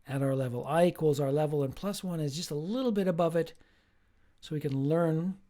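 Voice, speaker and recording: A man, a professor, giving a slow, emphatic recorded lecture with playful asides. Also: a little high, warm tone.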